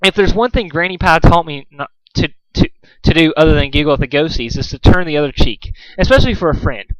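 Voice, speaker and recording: A man's voice: a little high, quick pace, no echo, pitched above the performer's own voice, natural voice, poor audio. A man voicing a feisty, passive-aggressive female pony in a story reading. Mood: forced sweetness. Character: feisty female pony, seething beneath forced cheerfulness.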